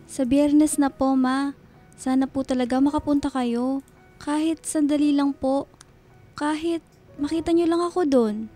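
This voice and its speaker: High, soft-toned pleading schoolgirl. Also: steady tone, unhurried, pauses often, soft background music. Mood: gently pleading. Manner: polite, pleading, hesitant. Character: hopeful, timid schoolgirl daughter pleading with busy mother. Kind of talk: radio drama acting.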